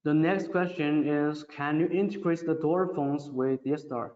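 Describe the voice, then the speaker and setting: Echoey, halting man presenting in non-native English. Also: a little high, a little mellow. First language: non-native English speaker. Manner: halting, reads questions aloud then answers in simple phrasing. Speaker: company presenter answering audience questions. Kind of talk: webinar question-and-answer session. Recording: muffled audio in an echoey, reverberant room.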